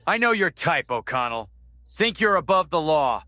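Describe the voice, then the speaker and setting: An actor playing a smug, bullying small-town sheriff's deputy who taunts and threatens, in an audio drama. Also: male voice, a little high, very animated, normal speed, sharp tone, no echo, clean studio sound. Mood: menacing.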